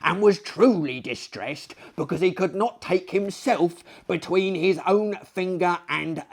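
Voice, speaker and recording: A man's voice: high voice, unhurried, voice pushed up. A man voicing a boisterous, declamatory dinner guest in a storybook, gossiping about eccentrics. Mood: relishing.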